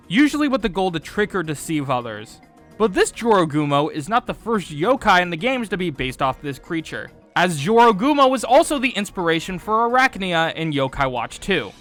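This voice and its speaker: A man presenting scripted video commentary as host, with an expressive, emphatic delivery. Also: fairly high pitch. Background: background music.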